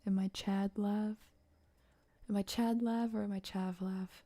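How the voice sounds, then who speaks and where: A soft-voiced woman chatting playfully and casually to viewers on an ASMR livestream, often dropping into a whisper. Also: medium pitch, flat delivery, a little slow. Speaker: ASMR live streamer.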